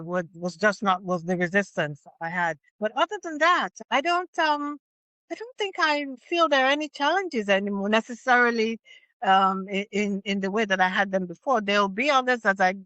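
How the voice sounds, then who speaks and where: A woman's voice: mid-range voice, a bit bright, no echo, rough recording. An older woman, an elder and healer, speaking as an interview guest in a contemplative way, repeating phrases for emphasis. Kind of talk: reflective interview conversation.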